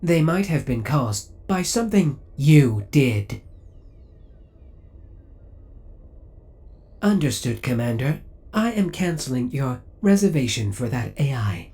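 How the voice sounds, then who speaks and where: A man's voice: mid-range voice, sing-song, a little slow, mellow. A man playing a possessive, coolly obsessive male spaceship AI in an ASMR audio roleplay, speaking with formal, precise, robotic politeness. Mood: insistent, manipulative, then smug, devoted.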